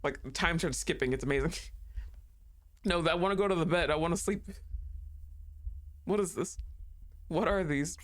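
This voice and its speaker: A woman's voice: fairly low pitch, moderate pace, long pauses. A young woman streaming a game, talking casually, laughing often and swearing freely.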